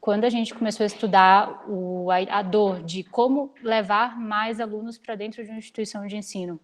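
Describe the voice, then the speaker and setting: A young woman from Salvador speaks over a video call, sharing slides with students. Her pitch sits in the ordinary middle range. She talks briskly and practically, with an energetic pull. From Salvador, Bahia, Brazil. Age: 20s. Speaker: startup founder giving a guest talk. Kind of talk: online lecture with slides.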